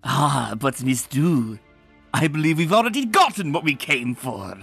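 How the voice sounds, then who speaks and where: Woman doing suave villain stallion, mocking, deep-ish, faint music. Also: big pitch swings, measured pace, creaky, fairly bright tone, breathy edge, full character voice. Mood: smug. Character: smug scheming male villain pony.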